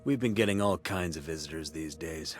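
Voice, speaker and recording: An actor playing a calm, laconic, diplomatic associate in a video game cutscene. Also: male voice, very deep voice, a little fast, a little hiss or hum. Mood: calm, dry.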